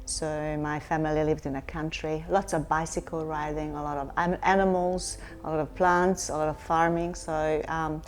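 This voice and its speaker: A woman's voice: low-pitched, little pitch movement, moderate pace, few pauses. A middle-aged woman from Hungary, a company chief executive answering interview questions in a reflective way, with many fillers and restarts. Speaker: company CEO, guest.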